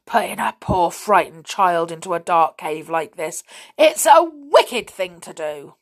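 A woman voicing an angry, scolding mother in a children's storybook, loud and full of indignant accusation. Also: lively intonation, unhurried. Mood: outraged. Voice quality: loud, shrill. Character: shrill, angry, scolding working-class mother, a villain.

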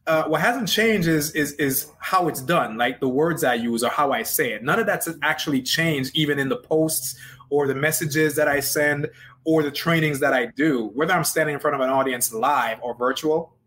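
A man, a business coach, answering interview questions in an energetic, conversational way with many fillers and restarts. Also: on the high side, moderate pace.

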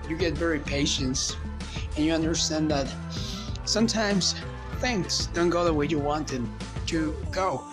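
A man whose first language is Spanish, giving a rambling, motivational solo podcast monologue in casual chat. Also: on the high side.

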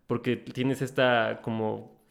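A man's voice: medium pitch, little pitch movement, slow pace, vocal fry. A man, interviewing in a casual, conversational way and often adding tag questions. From Mexico.

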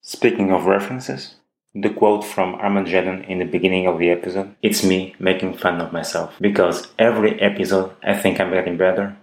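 A man's voice: low voice, steady tone, rough recording. A man with a Russian accent hosting a casual tech podcast, joking and often restarting his sentences. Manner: halting, jokey, many restarts. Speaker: podcast host.

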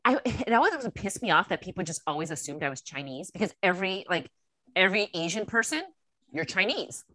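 A woman with a Californian American accent chatting casually as a podcast guest, telling personal stories and acting out bits of dialogue in an animated way. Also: lowish voice, brisk, no echo. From Los Angeles, USA. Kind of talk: casual chat, personal storytelling.

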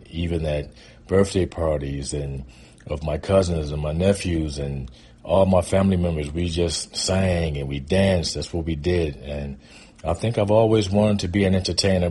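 A man in his fifties from Michigan with an American accent, telling personal stories in an informal, rambling, hesitant way with a husky voice. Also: low-pitched, darkish tone. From Pontiac, Michigan, United States. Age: 50s. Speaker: guest storyteller. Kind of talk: casual personal storytelling.

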